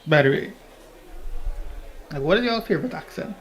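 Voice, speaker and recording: A man's voice: fairly high pitch, lively intonation, normal speed, rough recording. A young man co-hosting a podcast who throws in blunt, teasing quips. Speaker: podcast co-host. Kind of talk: casual chat.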